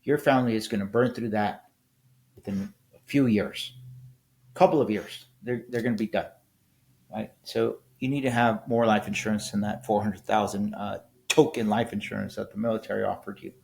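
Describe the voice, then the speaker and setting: A man's voice: on the low side, steady tone, moderate pace, creaky, darkish tone. A man in his fifties, a military veteran hosting a casual solo podcast, talking bluntly and rambling, often ending points with "right?". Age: 50s. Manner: blunt, rambling, frequent restarts and "right?" tags.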